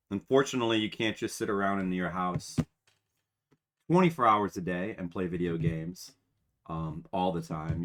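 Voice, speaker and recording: A man in his forties with a somewhat low voice streams a game from home and chats loosely with his viewers. He rambles, mocks himself, and keeps talking right at the audience. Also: fairly bright tone. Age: 40s. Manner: rambling, self-mocking, talks straight to viewers. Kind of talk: casual live-stream chat to viewers.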